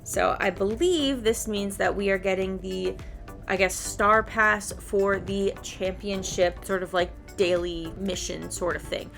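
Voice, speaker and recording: Casting: female gaming YouTuber, mid-pitched, loose conversational commentary, thinks out loud, music underneath. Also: steady tone, unhurried, gravelly. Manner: thinking aloud, self-questioning. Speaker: video game YouTuber. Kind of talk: casual rambling game commentary. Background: background music.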